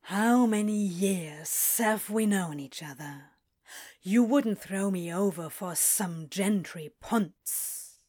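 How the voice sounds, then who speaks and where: Woman voicing a slow, drawling, sly snake. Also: mid-range voice, mellow. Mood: mild, needling. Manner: drawling, draws out vowels. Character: sly, grumbling male snake, slouchy and evasive. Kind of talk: acted fiction podcast dialogue.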